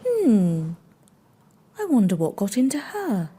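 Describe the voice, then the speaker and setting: A woman playing a kind-hearted, humble young woman in a storybook, with a polite, gentle, earnest manner. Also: mid-range voice, wildly swooping pitch, slow, slight background noise. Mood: pleased.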